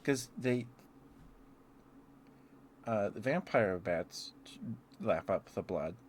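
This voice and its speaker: A man's voice: fairly low pitch, lively intonation, extremely slow, a little hiss or hum. A man streaming casual, rambling ASMR chat, musing aloud hesitantly and letting thoughts trail off.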